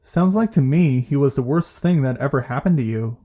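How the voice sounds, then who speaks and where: Actor as mellow, reassuring male therapist. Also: medium pitch, animated, lightly acted. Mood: probing. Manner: measured, gently firm, supportive. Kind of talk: audio play dialogue.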